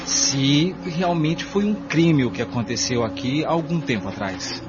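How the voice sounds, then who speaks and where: A man's voice: a little high, animated, unhurried. An actor playing an earnest, courteous man who leads a cellar dig in a radio drama, speaking formally with some hesitation. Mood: businesslike. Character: earnest male neighbor leading a torchlit cellar dig. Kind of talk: amateur radio drama acting. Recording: muffled, clearly noisy radio drama recording.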